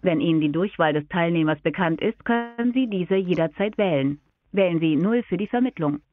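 A woman reads a formal phone-menu announcement in an even, fairly low voice. It comes through a tinny phone line and sounds dry. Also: a little fast, no echo.

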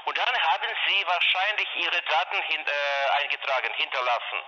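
A man cold-calling with a sales pitch over the phone, hesitant and full of filler sounds but pushy. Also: moderate pace, pushed and bright. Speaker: scam cold caller. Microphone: telephone. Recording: dull phone line with faint hiss.

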